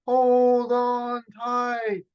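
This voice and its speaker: A man's voice: very high voice, completely flat delivery, extremely slow, clean tone, lo-fi sound, voice pushed up, exaggerated voice. A man voicing an earnest, eager young dragon in a children's storybook. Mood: urgent.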